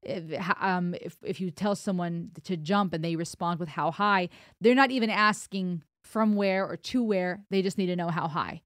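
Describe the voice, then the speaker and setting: A woman's voice: on the low side, normal speed, no room sound, clean studio sound. Thoughtful woman. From Germany. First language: German. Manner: thoughtful, emphatic, explains with hand gestures. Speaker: music reaction host. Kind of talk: analytical song reaction. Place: small home studio indoors. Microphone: close studio broadcast mic on boom arm, wearing headphones.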